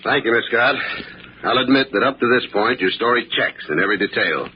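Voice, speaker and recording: An actor playing a skeptical police inspector in an old-time radio drama, formal, probing and brisk. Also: male voice, mid-range voice, animated, natural voice. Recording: dull old radio recording, faint noise.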